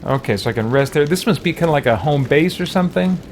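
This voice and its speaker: A man's voice: fairly high pitch, lively intonation, fast talker, a little mellow, a little hiss or hum. A man giving casual let's-play commentary, thinking aloud in a tentative way as he goes. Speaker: gaming video host.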